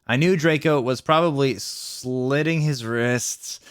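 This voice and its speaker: Guy reading bad fan fiction out loud, kinda theatrical, trips on words. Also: medium pitch, slow, clean tone, no echo, clean studio sound. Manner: theatrical, stumbles over the text. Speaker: video host reading fan fiction aloud. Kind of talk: expressive story reading with ad-libbed asides.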